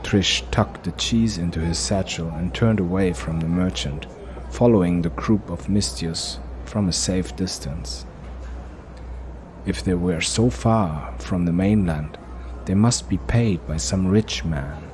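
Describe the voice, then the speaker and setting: A man narrating a fantasy audio drama in a measured, unhurried storytelling manner. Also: deep voice, darkish tone, a little hiss or hum, poor audio.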